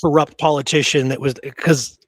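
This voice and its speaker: A man's voice: fairly high pitch, fairly even intonation, normal speed, no echo. A man hosting a podcast, talking casually about history in an emphatic, opinionated, rambling storytelling way. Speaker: podcast host.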